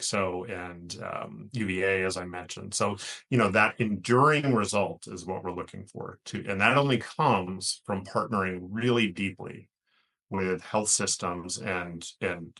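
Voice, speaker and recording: A man with a deep voice and an American accent speaks as an interview guest. He sounds like an executive explaining his work, earnest and measured. He often repeats and rephrases as he builds a point. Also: bright, forceful tone. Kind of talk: thoughtful interview answer.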